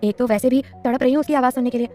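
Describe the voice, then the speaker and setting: A woman voicing a shy, lovestruck young wife in an audio story, animated, pleading and playfully teasing. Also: very high-pitched, rapid-fire, faint music behind, voice pushed up. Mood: determined.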